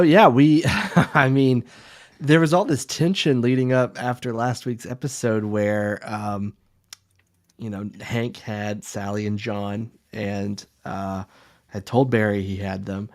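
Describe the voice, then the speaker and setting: A man with a mid-pitched voice talks as a podcast co-host. His delivery is casual and conversational, with an informal, slightly halting flow. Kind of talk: casual chat.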